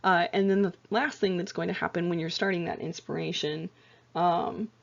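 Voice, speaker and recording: A woman instructor talking through lecture slides in a casual, chatty way with lots of fillers and self-corrections, her voice getting tired and hoarse. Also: on the low side, fairly even intonation, moderate pace, dull audio. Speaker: anatomy and physiology instructor.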